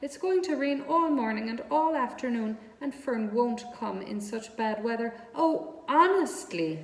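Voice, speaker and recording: A woman's voice: on the high side, lively intonation, unhurried, voice pushed up. A woman voicing a lonely, pleading young male pig for a children's storybook reading. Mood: miserable. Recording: echoey room with clear background noise.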